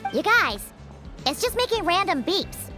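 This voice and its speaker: Tiny, very high, chirpy fairy companion. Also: female voice, sing-song, unhurried, bright, forceful tone, heavily acted. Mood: playful. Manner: chirpy, inquisitive. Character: small, perky, curious floating fairy-like girl companion. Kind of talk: video game voice acting. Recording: music behind the voice.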